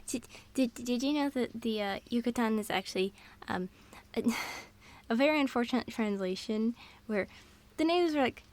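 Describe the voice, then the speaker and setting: A woman in an intimate girlfriend roleplay, rambling through fun facts in a scatterbrained, excitable way. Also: a little high, normal speed. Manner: scatterbrained, excitable, jumps between topics. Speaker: audio roleplay performer.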